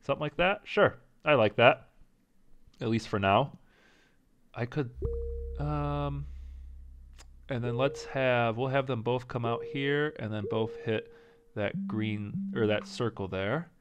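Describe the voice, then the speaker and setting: A man casually commenting as he plays a strategy game, thinking aloud and second-guessing his choices, with a slight rasp and an occasional cough. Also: medium pitch, slow pace, long pauses, lo-fi sound, background noise. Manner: thinking aloud, indecisive, self-talking. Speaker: gaming channel host. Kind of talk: casual let's-play commentary.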